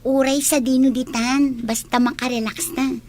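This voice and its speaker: Woman acting young student, Filipino accent, rather high voice, teasing, playful, radio drama. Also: steady tone, normal speed, darkish tone, slight background noise, close to an everyday voice. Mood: weary, playful.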